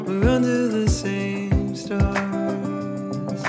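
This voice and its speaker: A woman singing a slow, melodic song. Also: mid-range voice, fairly even intonation, over loud music. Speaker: singer. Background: loud musical accompaniment.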